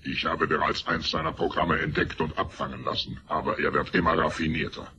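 Extremely deep, cold master computer. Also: male voice, level tone, dull audio, background noise. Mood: cold, scheming. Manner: measured, formal, emotionless delivery. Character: cold, calculating master computer program with male voice. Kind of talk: German radio play acting.

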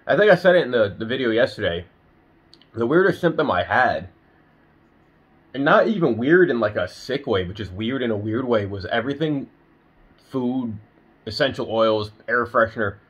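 A man's voice: medium pitch, breathy edge, highs cut off. A young man talking casually to his phone camera in a bedroom, rambling with lots of fillers, still a little nasal from being sick. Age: young adult. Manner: chatty and rambling, many "um"s and "you know"s. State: a little nasal, recovering from illness. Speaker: vlogger. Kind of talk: casual daily update vlog. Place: bedroom indoors. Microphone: phone camera at arm's length.

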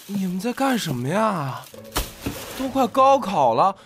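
A man playing an excitable, fussy young friend in an audio drama. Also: sits high, a little slow, background noise, full character voice. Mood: indignant. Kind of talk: Chinese audio drama acting.

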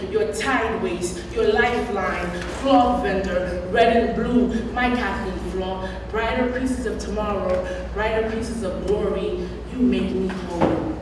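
A young woman reciting poetry live at a slam, with deliberate, dramatic delivery and emphatic pauses. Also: medium pitch. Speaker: spoken-word poet. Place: large room at a college, on stage at a podium. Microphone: microphones on stands at a podium. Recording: echoey hall, distant mic, background noise.

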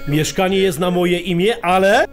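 An actor playing a blunt, bossy but generous older uncle with a Polish highlander accent in a radio drama. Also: male voice, normal speed, soft background music, lightly acted. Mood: solemn, generous.

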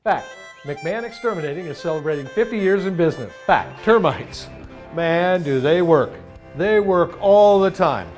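A man with a high voice gives a punchy, emphatic pest-control style pitch. Faint music plays behind him. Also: lively intonation, normal speed. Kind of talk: scripted ad.

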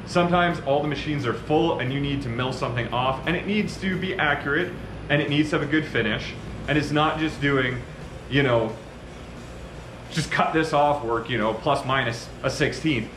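A man's voice: mid-range voice, moderate pace. A man in his thirties who owns a machine shop, talking casually to camera and explaining with lots of gestures. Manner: conversational, gestures a lot while explaining. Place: large machine shop, indoors. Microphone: camera at a few metres. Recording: echoey shop with faint noise.

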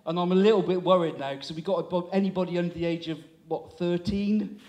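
High-voiced man in his sixties compering. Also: fairly even intonation, fast talker, a little mellow. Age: 60s. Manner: chatty, teasing, audience banter. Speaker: comedy compere. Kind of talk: stand-up crowd work. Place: small town hall stage, indoors. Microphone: handheld microphone.

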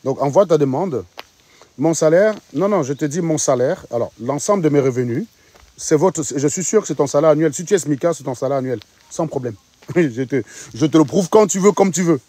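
A man's voice: a little high, expressive, brisk, dark tone, dry recording. A middle-aged man, hosting a live stream outdoors on his phone, mocking and insistent. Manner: combative, mocking, insistent questioning. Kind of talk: casual livestream chat. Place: outdoors on a sunny street. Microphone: phone at arm's length.

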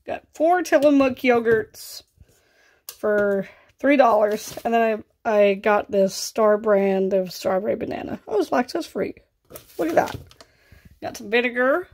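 A woman in her thirties with a scratchy, raspy voice and vocal fry, chatting casually through a grocery haul in her kitchen. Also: a little high, expressive, a little slow, darkish tone. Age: 30s. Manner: chatty and offhand, rising into exclaimed asides. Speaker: vlogger. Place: home kitchen, indoors.

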